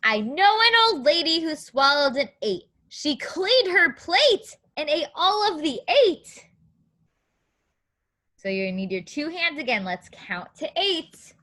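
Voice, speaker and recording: A woman leads a read-aloud lesson for small children. Her voice is fairly high and very bright, and she takes her time with each short phrase. She is encouraging and teacherly, with a sing-song way of guiding them. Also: big pitch swings, slow. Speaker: preschool teacher reading a story aloud.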